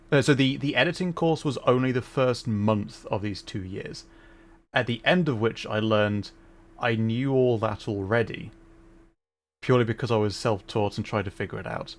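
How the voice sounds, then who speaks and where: A young adult man chatting casually while streaming a game, rambling and correcting himself, with frequent laughs. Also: fairly low pitch.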